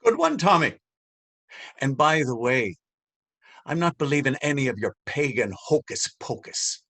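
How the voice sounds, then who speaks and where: A man's voice: mid-range voice, lively intonation, a little slow, fairly bright tone. An actor playing a bullying, sceptical Dublin policeman in a stage reading, mocking and officious. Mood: scornful. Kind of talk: stage readers theater acting.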